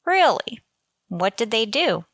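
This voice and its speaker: A woman acts a wry, self-deprecating female teacher in a radio sitcom. Her voice is bright and crisp-edged, swinging widely in pitch as she delivers dry wisecracks. The sound is dry, free of room echo. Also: very animated, slow pace, exaggerated voice. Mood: dry, exasperated. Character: wry, unlucky, sardonic female high school teacher. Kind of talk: scripted radio sitcom acting with first-person narration.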